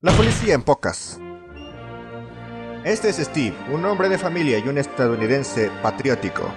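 A man narrating a comedic parody with sarcastic, deadpan asides. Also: medium pitch, moderate pace. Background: game music.

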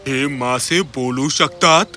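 Bright, eager fisherman. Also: medium pitch, slow pace. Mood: amazed. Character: loyal, kindly, practical fisherman. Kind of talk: animated fairy tale character acting.

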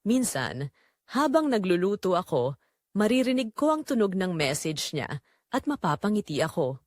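A woman's voice: medium pitch, big pitch swings, normal speed, a little mellow, no echo, clean studio sound. A woman narrating a first-person romantic drama in an intimate, dramatic way. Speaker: audio story narrator.

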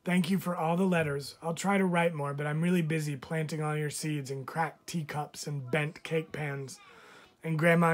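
A man, a teacher, reads a picture book of letters aloud with expressive, measured phrasing. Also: on the high side. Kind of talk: storybook read-aloud of letters.

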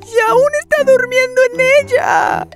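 A woman voicing a whiny, tearful little baby bear in a children's cartoon, childlike and squeaky. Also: fairly even intonation, music in the background. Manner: slow, tearful whining.

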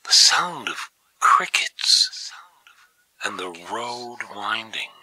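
A man giving poetic audio drama narration in a breathy, half-whispered voice. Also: fairly low pitch, very animated, very slow, bright, forceful tone, no room sound, low-quality recording.

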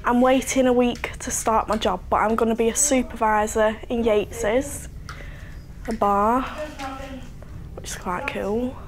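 A nineteen-year-old woman, chatting and laughing through a relaxed home interview. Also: fairly high pitch, a little slow, a crowd in the background. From Leyland, Lancashire, England. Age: young adult. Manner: chatty, giggly, jokey. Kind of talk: casual interview chat. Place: living room at home, indoors.

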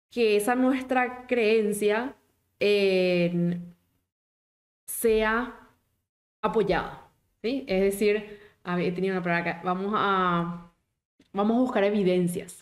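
A woman, a German teacher in a live online class who talks with lively encouragement and asks her viewers many questions. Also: medium pitch. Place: small home office indoors.

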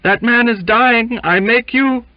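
A man's voice: fairly high pitch, a little slow, no echo, lo-fi sound, pitched above the performer's own voice, natural voice. A man voicing an ardent, flustered ship's cook, stammering and exclaiming in a storybook reading. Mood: desperate.

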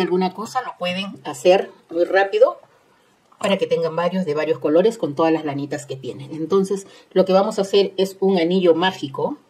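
A woman's voice: on the low side, a little mellow. A woman hosting an informal step-by-step craft tutorial, instructing with repeated phrases and tag questions. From Peru. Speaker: host of a crochet tutorial channel.

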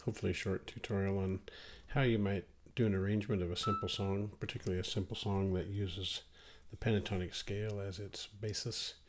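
A middle-aged man with a cold, explaining guitar arranging in a relaxed, thinking-aloud way. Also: lowish voice, fairly quick, few pauses, a little hiss or hum. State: has a cold. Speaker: guitar teacher. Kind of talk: casual guitar tutorial. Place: small home music room, indoors. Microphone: studio mic on stand, wearing headphones. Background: acoustic guitar playing.

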